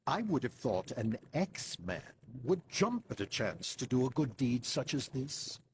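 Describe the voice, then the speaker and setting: A man's voice: medium pitch, big pitch swings, measured pace. An imperious, grandiose older leader in a video game cutscene. He is formal and drily sarcastic, his medium voice rising and falling boldly over faint noise. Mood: commanding.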